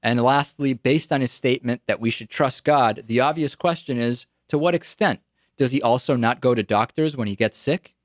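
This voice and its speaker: A man's voice: medium pitch, normal speed, no room sound. American guy hosting a political talk radio show, mid voice, really dry close sound. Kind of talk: political commentary.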